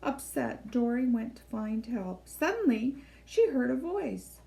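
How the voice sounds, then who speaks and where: A woman reading a children's story aloud in an expressive, measured storytelling style, with deliberate phrasing. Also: on the high side, vocal fry. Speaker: library storybook reader. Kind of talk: expressive scripted read-aloud narration for children.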